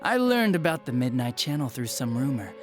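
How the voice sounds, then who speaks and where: A man's voice: medium pitch, very animated, fairly quick, faint music behind, natural voice. Man acting smug, unhinged detective villain, swooping voice. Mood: gleeful mocking. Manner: sneering, flippant, theatrical. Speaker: police detective. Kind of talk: dramatic video game voice acting.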